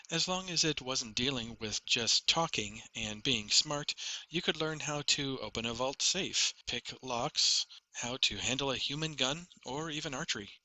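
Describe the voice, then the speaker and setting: A man's voice: mid-range voice, sharp tone, no room sound, low-quality recording. A man reading a first-person fantasy story as a scripted audiobook narration.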